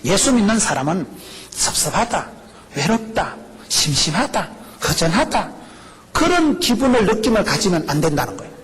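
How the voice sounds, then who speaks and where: A middle-aged male pastor with a Gyeongsang accent, preaching a lively, joking sermon full of rhetorical questions. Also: high-pitched, normal speed, slightly rough. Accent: Gyeongsang Korean. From rural South Korea. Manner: animated, jokey preaching with rhetorical questions and laughs.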